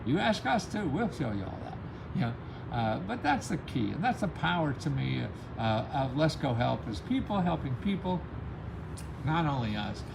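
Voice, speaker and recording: A man's voice: medium pitch, big pitch swings, moderate pace, vocal fry, background noise. A man hosting an advice channel in a casual, rambling, motivational style, talking emphatically and readily amused by his own points. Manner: emphatic, chuckles often at own remarks. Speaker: host of a grant-help advice channel.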